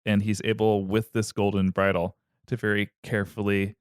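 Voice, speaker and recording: A man's voice: on the low side, flat delivery, moderate pace, clear, resonant tone, dry recording, clean studio sound. A man hosting a comedy podcast, retelling a myth in a rambling, joking way. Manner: rambling, joking, filler-heavy. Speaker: podcast host, storyteller.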